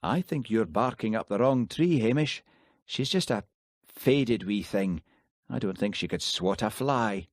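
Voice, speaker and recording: A blunt, bossy detective, brisk and dismissive, his voice low, as a male narrator voices him. The reading stays close and dry. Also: expressive, no echo.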